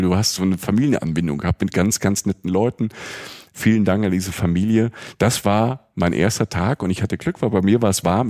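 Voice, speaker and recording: A man's voice: deep voice, a little mellow, no echo, clean studio sound. A man from the Palatinate co-hosting a podcast, telling a rambling, chatty travel story with many fillers. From Palatinate, Germany. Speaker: podcast co-host. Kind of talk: casual travel chat.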